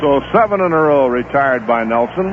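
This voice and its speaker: A man calling a baseball game play-by-play on radio, steady and unhurried, filling the gaps between pitches with stats and notes on the players. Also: background noise. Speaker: play-by-play announcer. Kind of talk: live baseball radio commentary. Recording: tinny 1970s AM radio broadcast.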